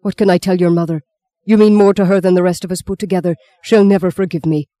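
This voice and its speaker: A man's voice: a little high, measured pace, dark tone, lightly acted. A narrator as a strained, emotional father. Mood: shaken remorse. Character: hot-tempered, remorseful middle-aged father, proud then broken.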